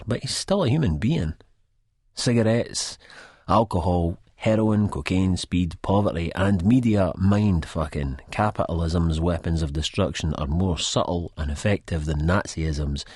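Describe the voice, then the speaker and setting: A man's voice: low voice, a little mellow, breathy edge, dry recording, studio quality, heavily acted. A man with a Scottish accent playing a wry, reflective, disillusioned first-person narrator in an audiobook. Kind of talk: first-person literary narration, character acting.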